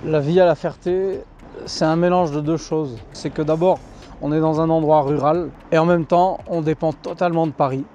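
A man in his thirties, talking through a face mask, calmly explaining his answers in an outdoor street interview. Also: on the high side, normal speed, slight background noise. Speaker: resident interviewed. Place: outdoor town street by a shop. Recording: slightly dull outdoor recording. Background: faint street ambience.

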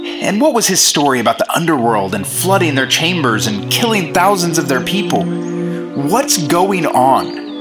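An earnest young FBI agent, with a lively voice that rises and falls. He presses with eager questions and a dutiful tone. Music plays softly behind. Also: a little high, normal speed. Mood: pleading. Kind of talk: radio drama acting.

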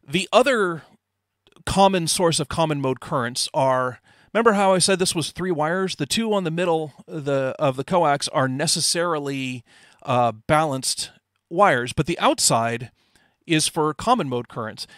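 Middle-aged man, slightly high voice, informal technical presenter, close dry sound. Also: a bit bright, dry recording. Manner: informal, hand-waving explainer with rhetorical questions. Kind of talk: explanatory technical lecture.

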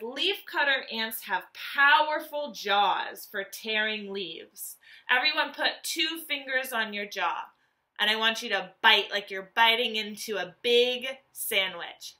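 Bright, slow-paced woman teacher. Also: fairly high pitch. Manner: animated, deliberate enunciation, addressing young children. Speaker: elementary school teacher. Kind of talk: children's nonfiction read-aloud lesson.

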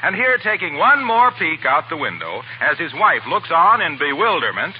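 Lively high-voiced American radio announcer, man. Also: moderate pace, a little hiss or hum. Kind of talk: 1940s radio announcing, scripted narration. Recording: muffled old radio broadcast with music.

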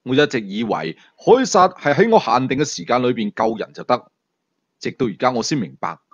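A man's voice: mid-range voice, animated, fairly quick, vocal fry, muffled recording. A man with a Hong Kong Cantonese accent narrating a thriller story dramatically as an audiobook storyteller.

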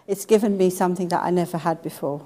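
A woman's voice: fairly low pitch, fast, dark tone. A young woman from London, speaking emphatically and enthusiastically in a testimonial. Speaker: tour participant. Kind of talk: testimonial interview.

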